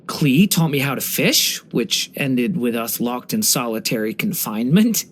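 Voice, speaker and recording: An actor voicing a gentle, earnest young dragon boy in a video game, speaking with soft-hearted wonder and care. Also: medium pitch, animated, a little slow. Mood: reflective, content. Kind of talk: scripted game character voice lines.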